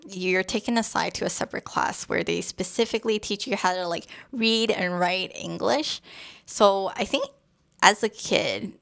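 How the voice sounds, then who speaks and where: A young woman whose first language is Cantonese, chatting casually as a co-host and telling stories softly, with many pauses and fillers. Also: on the low side, gravelly. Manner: soft, hesitant storytelling with many fillers. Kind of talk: casual chat.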